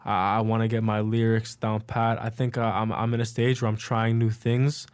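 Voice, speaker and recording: A man's voice: lowish voice, completely flat delivery, moderate pace, clean tone. A young man, a rapper guest talking casually and easygoing in a podcast interview.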